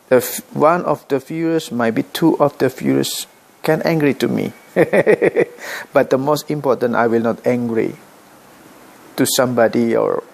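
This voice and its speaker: A middle-aged man from Indonesia speaking English with an Indonesian accent, addressing his vlog viewers in slow, halting sentences full of pauses and self-corrections. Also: mid-range voice, vocal fry. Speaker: vlogger. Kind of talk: direct-to-camera vlog address.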